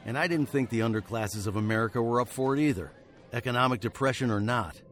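An actor playing a haunted, weary frontier hero turned fugitive, narrating in wry, wordy literary phrases for radio drama. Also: male voice, medium pitch, fast, a bit bright, lightly acted. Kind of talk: scripted first-person radio drama narration.

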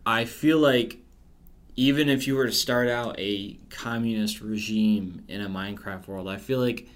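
A young man in his twenties from the United States with a fairly low voice, co-hosting a casual podcast. He muses aloud, wondering and speculating rather than asserting. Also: fairly even intonation, normal speed. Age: 20s. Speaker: podcast co-host. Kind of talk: casual chat.

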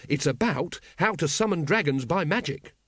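A man's voice: on the high side, animated, moderate pace, breathy edge, no echo, studio quality, pitched above the performer's own voice. An eager, naive young watchman, put on by a male audiobook narrator. His voice sits raised, and he speaks with earnest, rule-quoting precision. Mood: triumphant, happy. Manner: bright, earnest, precise recital.

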